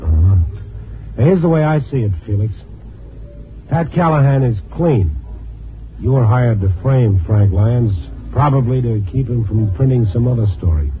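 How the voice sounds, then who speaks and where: A man's voice: medium pitch, lively intonation, normal speed, soft background music, natural voice. A man acting a world-weary radio noir reporter, tinny. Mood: hard, insistent. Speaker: narrator and lead actor. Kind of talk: 1950s radio noir acting, first-person narration. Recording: tinny band-limited old radio recording.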